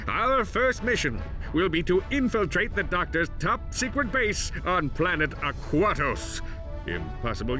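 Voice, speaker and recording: An actor playing a pompous, bragging commander of a misfit hero squad in a theatrical video game cartoon style. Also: male voice, fairly high pitch. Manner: pompous, grandiose. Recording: music behind the voice.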